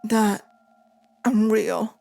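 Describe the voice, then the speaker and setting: Slow, possessive demon woman acted by a woman. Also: medium pitch, fairly even intonation, vocal fry, dark tone, faint music behind. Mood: startled, unsettled. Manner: slow, teasing, menacing murmur to the listener. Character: possessive, taunting female sleep paralysis demon. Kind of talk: intimate ASMR roleplay, scripted.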